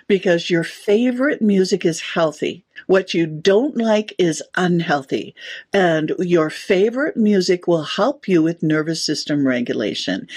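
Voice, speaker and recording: A woman's voice: fairly low pitch, husky. A middle-aged woman, a sound therapist, explaining her work in an interview with enthusiasm and easy laughter. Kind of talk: interview answers.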